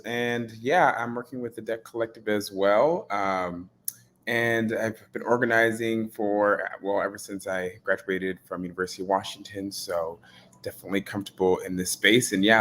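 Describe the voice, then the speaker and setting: A man's voice: sits low, fairly bright tone, a little hiss or hum. A man introducing himself as a debt organizer on a video-call panel, hesitant with many ums.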